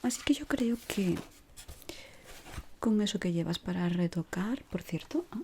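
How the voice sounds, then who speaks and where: A woman from whispers softly and without hurry in an ASMR roleplay, gently giving instructions as a decorator guiding a newcomer. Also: mid-range voice, expressive, a little hiss or hum. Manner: soft, unhurried, gently instructing.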